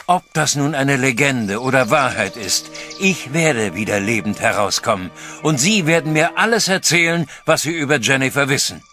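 An actor playing an old male farmer and grandfather, stern and fearful, in an audio drama, talking hushed and then grimly threatening. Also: mid-range voice, lively intonation, moderate pace, faint music behind. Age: older. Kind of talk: radio drama acting.

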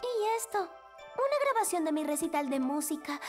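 A woman's voice: sits high, animated, moderate pace, faint music behind. A woman playing a kind, modest young girl in a children's cartoon. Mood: downcast.

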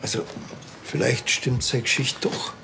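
A man's voice: mid-range voice, unhurried, creaky, noisy background. An actor playing a laconic, dryly understated village policeman with a Bavarian accent in a TV crime comedy. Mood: deadpan. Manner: dry, understated. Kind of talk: television crime comedy acting.

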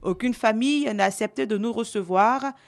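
A woman reporter reading a scripted voice-over for a TV news magazine, measured and clear. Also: a little high.

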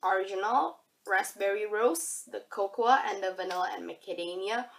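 Young female beauty vlogger, husky cold-roughened voice, upbeat, rambling delivery, recorded on a camera close by. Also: medium pitch, normal speed, rough recording. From Indonesia. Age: young adult. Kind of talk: casual product review vlog. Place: bedroom, indoors. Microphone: camera facing her at arm's length.

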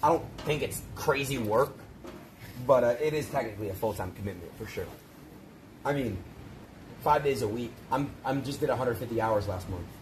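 A man's voice: medium pitch, normal speed. Young guy streaming outside at night, chill banter, camera mic picking up noisy air. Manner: laid-back, playful banter. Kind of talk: casual chat on a livestream. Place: outdoor villa terrace at night. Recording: noisy outdoor night audio.

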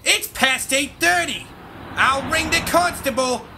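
A man's voice: very high-pitched, measured pace, creaky, bright, forceful tone, slight background noise, heavily acted. A man voicing a hysterical, scolding boarding-house headmistress in a campy audio drama. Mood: indignant. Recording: music behind.

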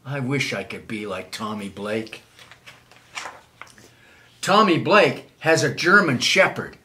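An older man reads a children's storybook aloud in an expressive, measured way. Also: mid-range voice, a little hiss or hum. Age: older, 70s. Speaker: children's author reading own book. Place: small wood-panelled room, indoors.

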